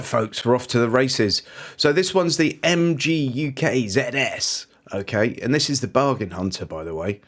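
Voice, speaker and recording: A man's voice: lowish voice, a bit bright. A British man hosting a car leasing channel, chatting casually and reeling off prices and specs while slightly stuffed up from a cold. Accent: British English. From United Kingdom. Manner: chatty, informal, reels off figures. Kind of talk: casual review monologue.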